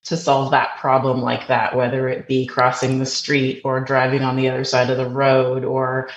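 A woman's voice: very deep voice, completely flat delivery, moderate pace. Canadian woman guest, chatty, tells stories, slightly muffled and echoey remote line with faint hiss. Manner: chatty, storytelling, restarts mid-sentence. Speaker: podcast guest. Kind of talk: conversational interview. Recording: roomy, dull remote recording with faint noise.

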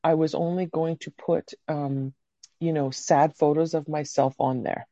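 A woman with a low, warm, mellow voice chats as a podcast guest, rambling candidly and dropping the odd curse. The recording is dry and a bit dull and lo-fi. She grew up in Canada. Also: steady tone, unhurried, no room sound. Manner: candid, rambling storyteller with casual swearing. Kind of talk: casual interview chat.

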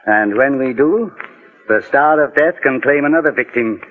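Through the narrow sound of an old 1940s radio show comes a man acting as a calm, authoritative detective. His mid-pitched voice is measured and formal, questioning with methodical courtesy. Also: soft background music, lightly acted. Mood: resolute. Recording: band-limited old 1940s radio recording.

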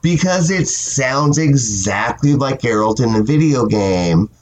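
A man hosting a podcast and chatting casually in a sassy, animated way, swearing often and sounding stuffy from a cold. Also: medium pitch, a little slow, a crowd in the background, poor audio. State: stuffy with a cold, sore throat. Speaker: podcast host. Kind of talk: casual chat.

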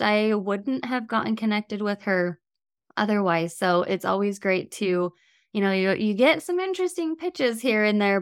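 A woman's voice: medium pitch, clean tone, no echo, studio quality. A woman hosting a podcast, upbeat and friendly, reading a scripted intro and then chatting with her guest. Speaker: podcast host. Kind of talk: podcast host interview.

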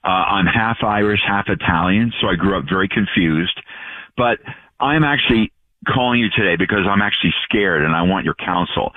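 A low-voiced man in his sixties calling in over a thin, tinny phone line. Originally from Long Island, New York, he rambles and jokes, cutting himself off as he goes. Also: moderate pace, no room sound. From Long Island, New York, USA. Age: 60s. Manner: rambling, jokey, self-interrupting. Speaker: caller. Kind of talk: call-in radio chat.

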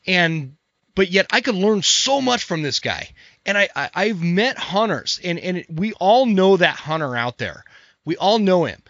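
A man in his forties hosts a casual podcast chat, pressing his points with emphasis and frequent repeats. His voice sits a little high for a man. The recording is dry and somewhat dull. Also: sing-song, a little slow, a bit bright, no room sound. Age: 40s. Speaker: podcast host. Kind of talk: casual conversation.

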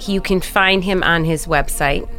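A woman with a somewhat low voice hosts a podcast interview, friendly and encouraging as she asks her guest questions. Music plays clearly under her voice. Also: measured pace, a bit bright. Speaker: podcast host, life coach.

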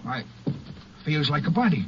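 An actor playing an easygoing, slightly slow freight brakeman with folksy, colloquial talk in a 1950s western radio drama. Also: a little high, very animated, a bit bright, slightly rough, full character voice. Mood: puzzled. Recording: muffled, noisy old radio recording.

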